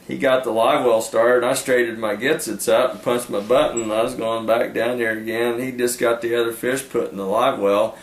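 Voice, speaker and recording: A man's voice: medium pitch, fairly even intonation, normal speed, few pauses. A man telling fishing stories in a casual, rambling, folksy way, often trailing into "anyway" and "uh". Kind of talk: casual storytelling. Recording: echoey room with faint ambience.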